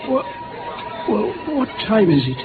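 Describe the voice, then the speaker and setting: A befuddled opium smoker speaks in a high, slow voice, rambling and stumbling over his words. The old radio drama sounds tinny, with music behind. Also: sing-song. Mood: dazed, confused. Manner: dazed, halting, rambling. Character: befuddled opium-addicted husband, dazed and self-pitying.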